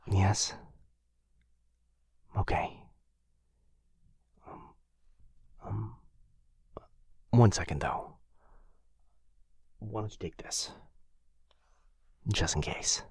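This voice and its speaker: A man playing a gentle, protective vampire lover in an intimate boyfriend ASMR roleplay, tender and hushed, with a breathy, husky voice. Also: fairly low pitch, very slow, long pauses, no echo, studio quality, heavily acted. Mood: hesitant, caring. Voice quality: breathy, husky, often whispering. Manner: tender, hesitant, reassuring, hushed. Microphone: close microphone.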